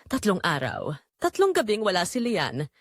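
Middle-aged mother-in-law for audio drama, played by a woman: secretly longing, guilt-ridden, deliberate emotive confession, very lively pitch, dry recording. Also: dry recording. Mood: conflicted, confessional. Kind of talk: dramatic first-person scripted narration.

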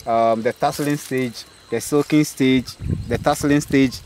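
A man's voice: medium pitch, fairly even intonation, normal speed, a little mellow, a little hiss or hum. A man in his thirties with a Ghanaian accent, a farmer patiently explaining crop stages outdoors in a field. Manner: patient, explanatory, checks understanding. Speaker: maize farmer. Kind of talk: explaining farming in an interview. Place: open farm field outdoors. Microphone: host's handheld phone.

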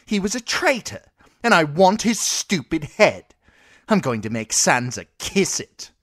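A man voicing a spoiled, cruel teenage boy king who whines and shouts his demands. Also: big pitch swings, a little slow, dry recording, studio quality, full character voice. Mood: petulant. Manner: shrill, whiny, shouting demands.

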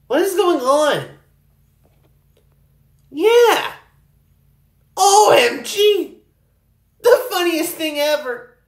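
A woman's voice: very high voice, lively intonation, very slow, pauses often. A woman performing short, exclamatory cartoon character lines as an amateur voice actor, in a theatrical manner. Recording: roomy, echoey home recording.

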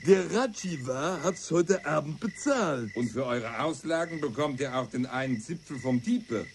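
An actor playing a rowdy, blustering adventurer in a radio play. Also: male voice, a little high, lively intonation, moderate pace, a little hiss or hum.

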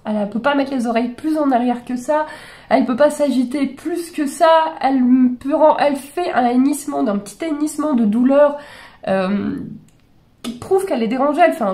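A woman in her forties with a fairly high, slightly hoarse voice talks straight into a desk webcam in a small bedroom. Her delivery is exasperated and incredulous, full of fillers and restarts. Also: dark tone. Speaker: horse channel host reacting to videos. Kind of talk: casual reaction commentary. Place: small bedroom with gaming chair.

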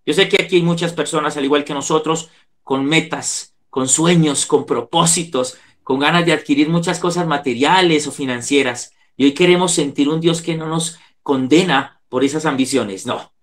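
Earnest Colombian man, slightly high voice. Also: normal speed. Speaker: psychologist giving a talk. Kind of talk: devotional talk with prayer. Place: indoor room, on a video call. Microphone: video call microphone.

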